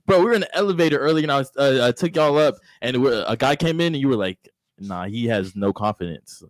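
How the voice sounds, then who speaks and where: A man's voice: medium pitch, lively intonation, quick pace, no echo. A man co-hosting a talk show in casual, blunt, slangy banter.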